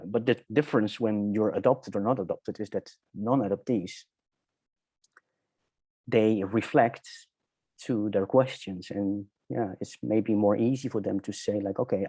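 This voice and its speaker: A middle-aged man with a Dutch accent talks reflectively about his own life. His voice is low, dark and husky, and he often gropes for the right word. The sound is close and dry. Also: no echo. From Netherlands. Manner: halting, searching for words. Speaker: interview guest. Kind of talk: reflective personal storytelling in conversation.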